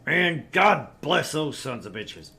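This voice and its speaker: Man putting on a gravelly, swaggering tabloid boss. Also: fairly high pitch, sing-song, slow pace, bright, forceful tone, exaggerated voice. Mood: crude, musing. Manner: pompous, pushy, swaggering. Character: brash, crude, sleazy middle-aged male tabloid editor. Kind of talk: visual novel character read-through.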